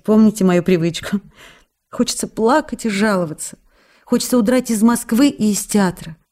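A woman's voice: medium pitch, mellow, natural voice. A woman in a radio play voicing a young actress who writes playful, coaxing, reproachful letters to a writer. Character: young actress writing teasing, pleading love letters. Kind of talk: radio drama letter reading.

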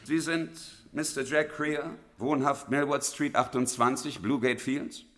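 An actor playing a formal Victorian public prosecutor in a German radio drama, declaiming and probing with theatrical authority. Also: male voice, mid-range voice, unhurried, fairly bright tone, lightly acted. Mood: solemn, declamatory.

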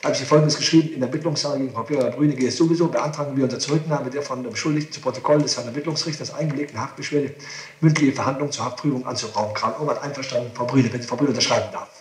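A man's voice: medium pitch, racing, distant mic, slight background noise. Echoey archive tape of insistent male lawyer. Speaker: defence lawyer. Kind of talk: legal advice in a recorded interrogation hearing.

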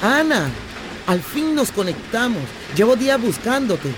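An actress playing a restless, affectionate Colombian traveller in a radio drama, telling things in a playful, expressive, conversational way. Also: female voice, a little high, measured pace, music in the background. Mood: chatty, teasing. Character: adventurous, restless, affectionate young Colombian woman stranded while travelling. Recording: remote recording with clear traffic noise.

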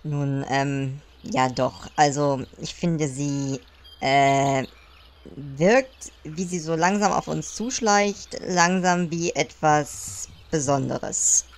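A woman's voice: low voice, takes it slowly. A woman playing a hesitant, deferential gnome royal advisor in a comedic fantasy radio play.

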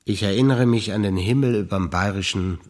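Older man, low voice, from bavaria, stage actor, calm and matter-of-fact recitation. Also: a little fast. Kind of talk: scripted stage recitation of memories.

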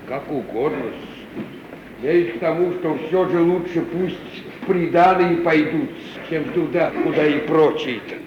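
A man's voice: on the high side, unhurried, lightly acted. Distant, muffled old manservant, played by a man. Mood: resigned, discreet. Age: older. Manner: servile, folksy, grumbling. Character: old male manservant, deferential yet grumbling. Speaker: actor. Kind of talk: 1950s Russian radio play acting. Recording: dull old radio recording, roomy, distant, noisy.

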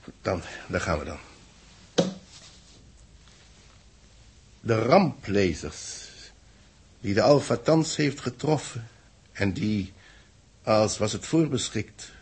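An actor playing a grave space-mission official in a 1960s radio play, speaking solemnly and deliberately in a husky, gravelly voice. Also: male voice, fairly low pitch, animated, a little mellow, a little hiss or hum. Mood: solemn, declamatory.

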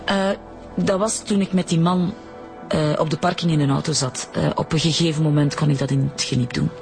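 Shaken Flemish woman, creaky and hesitant. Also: deep voice, steady tone, darkish tone. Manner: hesitant, halting, repeating words. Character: shaken wife recounting her own abduction, evasive. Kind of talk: radio drama acting. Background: music.

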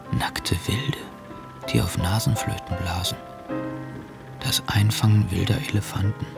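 A naive polite young gent in a radio play, lowish voice, formal wording, music clearly underneath. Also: male voice. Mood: earnest. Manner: courteous, reflective, measured formal phrasing. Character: earnest, polite, naive young bourgeois gentleman. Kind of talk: literary radio drama acting.

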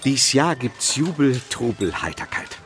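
A man's voice: medium pitch, sing-song, a little slow, over music. A man playing a confident, optimistic local in a German radio play. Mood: upbeat, assured. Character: confident, optimistic male festival-town local.

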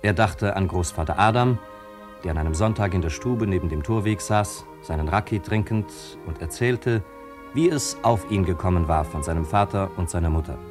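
An actor as the sober narrator of a family chronicle, reading literary radio drama narration in a measured way. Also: male voice, low-pitched, natural voice. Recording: music behind the narration. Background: music.

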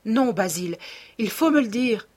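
An agitated young man from a storybook as a woman reads him, secretive and imperious. The voice is slightly husky and raised in pitch, pressing every protest with emphasis. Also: expressive, normal speed, a little mellow. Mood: insistent.

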